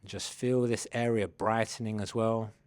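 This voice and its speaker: Man gently guiding a meditation, dry close sound. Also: lowish voice, little pitch movement, clean tone, no room sound. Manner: gentle, unhurried instructing in soft invitations. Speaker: mindfulness meditation guide. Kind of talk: guided body scan meditation.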